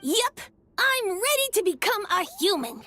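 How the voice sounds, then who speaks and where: Tiny, very high-pitched little dragon. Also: female voice, big pitch swings, measured pace, sharp tone, heavily acted. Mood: brave, eager. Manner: earnest, innocent, childlike. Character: small, eager, shy young dragon child. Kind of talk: video game character acting.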